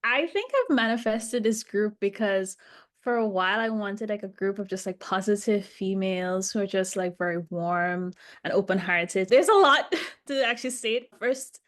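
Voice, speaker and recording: A woman's voice: medium pitch, moderate pace. Woman sharing casually and personally. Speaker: group member giving testimonial.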